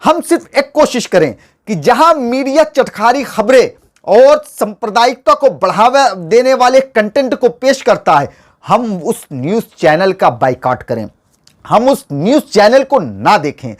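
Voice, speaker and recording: A man's voice: high-pitched, sing-song, a little slow. A man hosting a political commentary show, speaking to viewers in an earnest, rhetorical and formal oratorical way. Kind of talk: scripted news commentary addressed to viewers.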